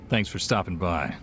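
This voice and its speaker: An actor playing an easygoing middle-aged bartender with a knowing, gruff manner, in a video game dub. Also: male voice, deep voice, fairly bright tone. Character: laid-back middle-aged male bartender. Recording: game cutscene with music behind.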